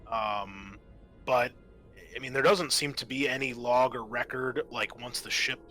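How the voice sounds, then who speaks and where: A man narrating an improvised tabletop game as game master, halting and often pausing mid-sentence. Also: medium pitch, sharp tone, soft background music.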